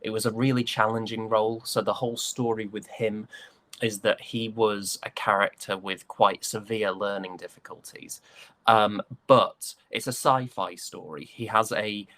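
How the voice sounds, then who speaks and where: A young British man chatting casually as an interview guest, an actor recounting his roles in a hesitant, halting way. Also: lowish voice, fairly even intonation, fairly bright tone, no room sound. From United Kingdom.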